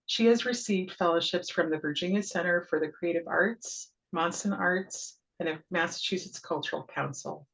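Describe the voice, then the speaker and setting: Woman host for a poetry reading on a video call, lowish gravelly voice, welcoming with lots of ums. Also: normal speed, hardly any pauses, poor audio. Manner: warm, welcoming, with frequent ums. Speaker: host and town poet laureate. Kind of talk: event introduction over video call.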